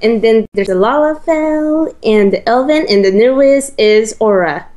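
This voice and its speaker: Slow-talking woman, halting gaming co-host. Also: fairly high pitch, darkish tone. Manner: hesitant, halting explanations. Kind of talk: casual let's play chat. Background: faint game music.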